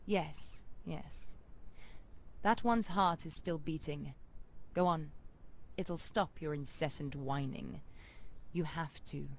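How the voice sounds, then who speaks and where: A woman playing an ancient noble vampire in a game, imperious and mocking, with a deliberate, sardonic delivery. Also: sits low, slight background noise. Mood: firm. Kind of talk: dramatic RPG voice acting.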